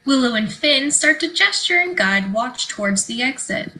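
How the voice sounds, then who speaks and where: Casting: female stage-directions narrator, crisp scripted read, bright and fairly high, slight background noise. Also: lively intonation, normal speed, faint music behind, poor audio.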